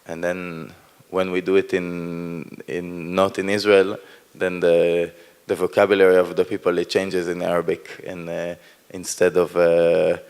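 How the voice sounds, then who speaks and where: A man with a Hebrew first language speaks English in a deep, clear, resonant voice with little melody. He talks haltingly and pauses often to find his words. The sound carries a long hall reverb. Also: a little mellow. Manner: hesitant, searching for words with many fillers. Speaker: performer in post-show talk. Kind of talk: post-performance discussion.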